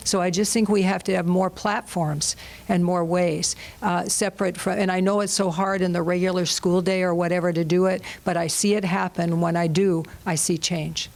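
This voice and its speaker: A woman's voice: on the low side, little pitch movement, darkish tone. Earnest woman on a panel. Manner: earnest, halting, restarts often. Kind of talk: panel discussion remarks. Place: conference session room.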